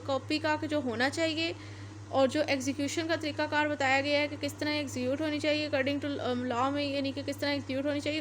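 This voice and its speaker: A woman with a Pakistani accent giving an explanatory law lecture, reading out passages and going over them step by step. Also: sits high. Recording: noticeable background noise.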